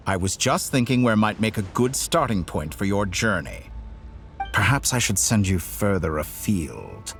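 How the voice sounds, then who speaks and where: An urbane game villain with godlike powers, condescending and formally polite. His low voice swoops theatrically through each phrase, with faint music behind him. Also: sing-song, measured pace, fairly bright tone, heavily acted. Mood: smug, coaxing. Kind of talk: video game voice acting.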